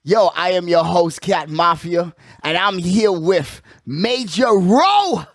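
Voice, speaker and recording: A man's voice: sits high, lively intonation, slow, dry recording, clean studio sound. A man hosting a casual sports talk show, slangy and emphatic, often repeating his catchphrases. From United States. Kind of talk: casual hyped sports chat.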